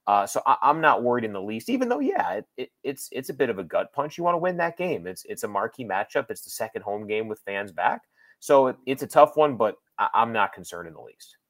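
A man's voice: medium pitch, sing-song, brisk, a bit bright, no echo. A man working as a sports broadcaster, giving conversational analysis as a guest, explaining and qualifying his points with emphasis.

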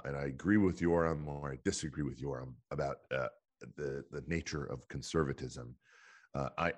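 A male podcast host asking long, loose questions full of "uh" fillers. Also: extremely low pitch. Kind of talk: conversational interview.

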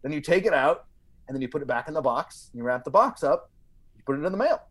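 A man with a slightly high voice spins a funny story as a podcast guest. He talks casually and emphatically, circling back and repeating words as he builds each point. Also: lively intonation, fast talker, fairly bright tone.

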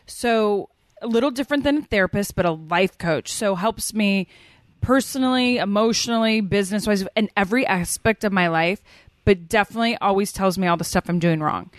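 A woman's voice: mid-range voice, a little fast, no room sound. Chatty woman guest, dry sound. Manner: chatty, storytelling with quoted asides. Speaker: podcast guest. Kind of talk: casual chat.

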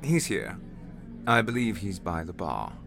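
A man voicing a sinister, calm and menacing male waiter in a horror story, hushed and sneering. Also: medium pitch, slow pace, music in the background, voice pushed up. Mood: smooth, polite. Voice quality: hushed, whispery. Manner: calm, sneering threats that rise to shouts.

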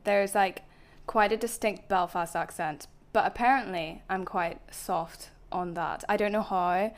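A young woman with a soft Northern Irish accent talks as a podcast guest. Her casual chat is giggly and chatty, and she pokes fun at herself. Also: mid-range voice, steady tone, unhurried. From Northern Ireland. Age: young adult. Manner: giggly, chatty, self-deprecating.

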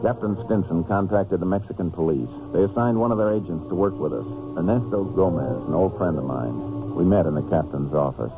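An American actor playing a seasoned, calm and folksy Texas Ranger in a 1950s radio drama, speaking and narrating plainly with a laconic Western drawl. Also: male voice, low-pitched, fairly quick, over loud music, close to an everyday voice. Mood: measured narration. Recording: noisy, tinny old 1950s radio recording.

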